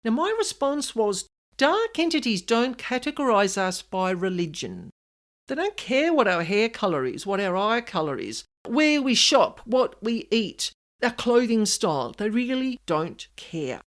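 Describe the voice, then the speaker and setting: Woman with very animated, swinging pitch. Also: a little slow. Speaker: podcast host and paranormal specialist. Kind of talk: conversational podcast monologue.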